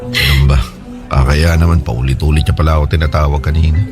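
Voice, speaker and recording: A man's voice: a bass voice, steady tone, fast, music in the background. An actor with a Filipino accent playing a troubled, hesitant married man in a conversational radio drama style. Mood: friendly, shy.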